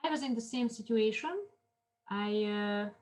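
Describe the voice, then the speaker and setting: A woman from Armenia giving a classroom lecture in halting English, with many fillers and restarts as she walks through marketing case studies. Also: mid-range voice, darkish tone.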